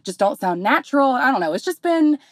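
A young woman, slightly hoarse, hosting her podcast in candid, rambling casual talk full of fillers. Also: high-pitched, sing-song, brisk, dry recording, clean studio sound. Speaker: podcast host.

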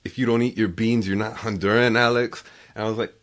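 A man's voice: fairly low pitch, steady tone, quick pace, fairly bright tone. A man hosting a podcast, chatting casually and telling stories in an upbeat way. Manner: upbeat storyteller. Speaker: podcast host. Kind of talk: casual conversation.

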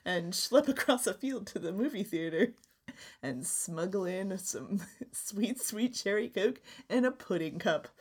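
A woman of middle pitch co-hosting a chat podcast. She tells stories in a loose, chatty way and breaks into laughter often. The tone is casual and conversational. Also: expressive, a little slow, a little mellow, breathy edge. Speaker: podcast co-host.

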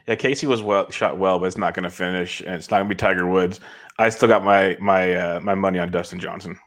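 This is a man in his thirties from California who talks in a relaxed, rambling way. He laughs often and has a somewhat low voice. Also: fast talker. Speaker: podcast guest. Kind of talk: casual podcast chat.

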